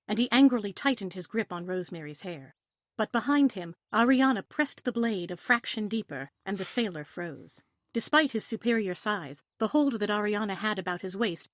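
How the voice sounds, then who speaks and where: A woman narrates a scripted adventure story with expression. Her voice sits at a middle pitch, captured dry and close. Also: no echo. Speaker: narrator of audio play.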